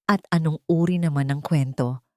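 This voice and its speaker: A woman's voice: low-pitched, moderate pace, mellow, dry recording, clean studio sound. A woman narrating a scripted dramatic story as a storyteller and host.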